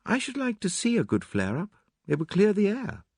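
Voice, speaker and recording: A man's voice: high-pitched, huge pitch swings, normal speed, darkish tone, no room sound, clean studio sound, voice pushed up, full character voice. A man voicing a poised, enigmatic woman with a wry, understated manner in an audiobook. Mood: quietly amused. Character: cool, enigmatic upper-class English woman, quietly teasing. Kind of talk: dramatised audiobook reading.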